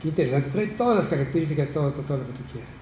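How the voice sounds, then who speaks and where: A man's voice: fairly high pitch, very fast. Older man hosting a studio chat. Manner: relaxed, chatty, hesitant with fillers. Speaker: host. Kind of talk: studio talk show chat. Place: small TV studio, round table. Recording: roomy studio with light noise.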